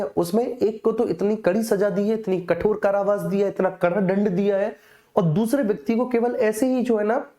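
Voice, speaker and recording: A woman's voice: medium pitch, mellow. A woman with an Indian accent giving an explanatory exam-coaching lecture, addressing students familiarly and repeating key phrases for emphasis. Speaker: teacher.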